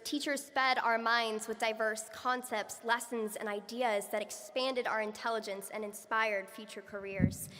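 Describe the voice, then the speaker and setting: A young woman, a student, giving a graduation speech in an earnest, measured way. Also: fairly high pitch, fairly bright tone. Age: young adult. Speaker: student speaker. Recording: echoey hall from far off.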